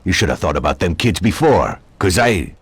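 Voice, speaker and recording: An actor playing a hard-nosed, cynical middle-aged police detective in a crime game, blunt and sardonic. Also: male voice, sits low, very animated, moderate pace, breathy edge, dry recording, heavily acted. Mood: scornful. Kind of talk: video game crime drama dialogue.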